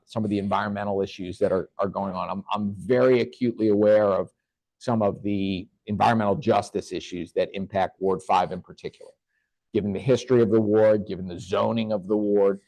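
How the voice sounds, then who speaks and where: A man speaking as a government official at a community meeting, answering questions in an earnest, measured way with occasional restarts. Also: lowish voice, steady tone, darkish tone, no room sound, low-quality recording.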